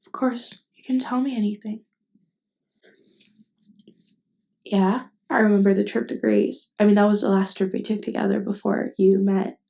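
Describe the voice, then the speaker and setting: A woman acting a nervous, tender best friend with hidden love in an intimate ASMR roleplay, speaking hesitantly and haltingly. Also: mid-range voice, level tone, creaky, dark tone, natural voice. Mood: soft, hesitant. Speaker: voice actress.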